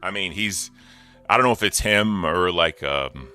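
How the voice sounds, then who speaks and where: A man with a deep, low voice that still cuts through sharply. He co-hosts a casual podcast chat, joking around and swearing freely. Faint music plays underneath. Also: a little slow, pushed and bright, clear, resonant tone. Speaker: podcast co-host.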